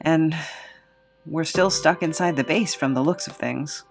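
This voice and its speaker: A beleaguered, sheepish hero, played by a woman, speaks in a slightly low voice. The delivery is hesitant and wry, in comic audio drama style. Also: unhurried, creaky, soft background music. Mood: explaining.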